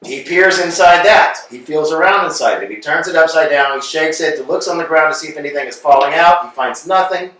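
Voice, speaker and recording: A man reading stage directions aloud in a plain, measured way. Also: a little high, a bit bright. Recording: echoey room, distant mic.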